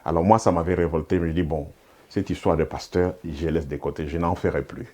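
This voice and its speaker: A man's voice: deep voice, fairly even intonation, fairly quick, darkish tone. A man from Congo telling his personal story of being called to the ministry. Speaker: pastor. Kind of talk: personal testimony.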